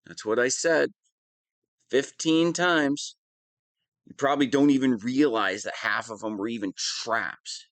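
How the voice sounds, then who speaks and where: A man playing a whiny, hapless, soft-hearted wannabe super-villain in a scripted audio roleplay, self-pitying and prone to dramatic swings. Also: medium pitch, measured pace, no room sound. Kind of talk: scripted one-sided audio roleplay monologue.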